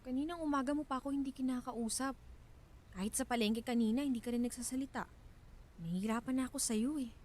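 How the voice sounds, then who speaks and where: An actress playing a distressed, exasperated wife in a Filipino radio drama, with pleading emotional delivery. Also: female voice, high-pitched, fast talker, a little mellow. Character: Thelma, distressed wife confronting her angry husband.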